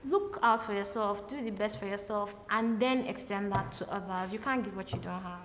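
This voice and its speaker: An earnest Nigerian woman answers interview questions in a thoughtful, reflective way. Her mid-pitched voice rings in a reverberant room, and a faint hiss sits underneath. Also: normal speed, fairly bright tone.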